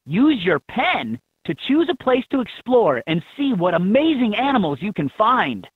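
A man reading scripted instructions for a children's learning game in an expressive, instructive manner. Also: sits high, moderate pace, breathy edge, dry recording. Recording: thin, phone-like lo-fi game audio.

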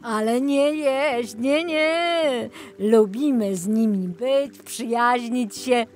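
An enthusiastic male tour guide from a children's storybook, as a woman narrator puts him on. He explains the sights slowly and with friendly exclamations. Music plays faintly behind. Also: a little high, voice pushed up. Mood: enthusiastic, explaining. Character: enthusiastic, friendly man guiding a city boat tour. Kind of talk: children's audiobook character.

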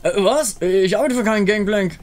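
A man's voice: high-pitched, normal speed, pitched above the performer's own voice, natural voice. A man voicing a boastful, easily flustered outlaw gunslinger with a blustering manner.

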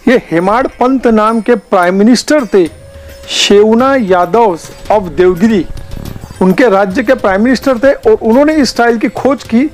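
A man's voice: high voice, a little slow, faint music behind. Chatty man vlogging. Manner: chatty, explanatory, mixes English words into Hindi. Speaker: travel vlogger. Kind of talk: casual vlog narration. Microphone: lapel mic.

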